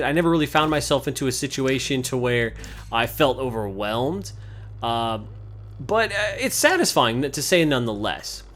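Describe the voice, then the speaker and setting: A man's voice: mid-range voice, a little hiss or hum. A man giving casual, conversational gameplay commentary as the host of a video game series. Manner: informal, conversational. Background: game music.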